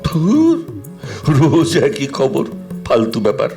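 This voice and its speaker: Audio drama: sarcastic middle-aged husband, tight-fisted and theatrical, very lively intonation over music. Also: male voice, mid-range voice, takes it slowly. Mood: grumbling. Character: grumbling middle-aged Bengali husband, miserly, teasing, easily flustered.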